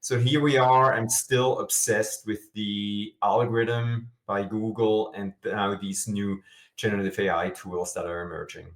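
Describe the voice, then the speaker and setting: A man's voice: fairly low pitch, flat delivery, measured pace, roomy sound. A middle-aged man from Switzerland, a guest explaining his work in an interview with eager, filler-dotted answers. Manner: enthusiastic, explanatory, with frequent "uh" fillers. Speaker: guest, SEO expert.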